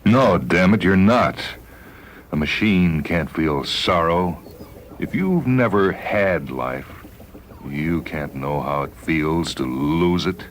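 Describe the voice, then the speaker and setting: Man acting deep-voiced, haunted, emotional spaceman. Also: expressive, a little hiss or hum. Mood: bitter, angry. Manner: halting, emotional, repeats words. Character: dying male spaceman, wistful, haunted, intense. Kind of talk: dramatised short-story reading.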